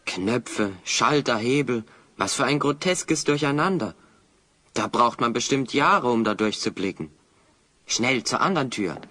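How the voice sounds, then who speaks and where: A man's voice: mid-range voice, expressive, unhurried, sharp tone. An actor playing a determined, thoughtful heroic adventurer in an audio drama, explaining things earnestly to his companion. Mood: urgent, curious.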